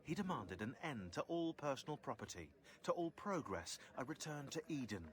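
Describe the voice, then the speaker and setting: An actor playing a sardonic historian, delivering wry, dramatic scripted narration in a video game. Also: male voice, medium pitch, big pitch swings, normal speed, close to an everyday voice.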